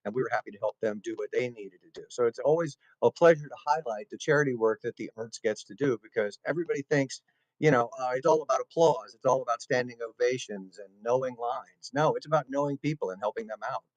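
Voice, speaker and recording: A man of medium pitch hosts an interview in an earnest, speechifying way, with a dry, close sound. He talks in full sentences and shapes his points like a small talk to the audience. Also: fast, no echo, low-quality recording. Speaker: show host. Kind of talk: casual interview hosting.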